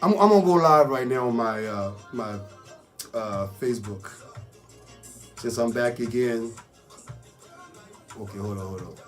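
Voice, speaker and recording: A man with a raspy voice hosting a casual, improvised community radio show in an exclamatory hype style, repeating catchphrases. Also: medium pitch, measured pace, pauses often, faint music behind. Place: radio studio.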